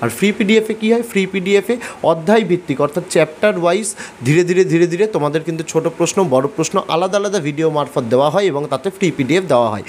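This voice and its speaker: A man's voice: on the high side, slight background noise. A man with a West Bengal accent explaining things to students as an online teacher, in an instructional, emphatic and repetitive way. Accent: Bengali from West Bengal, India. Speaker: online class teacher and channel host. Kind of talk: explanatory tutorial narration addressed to students.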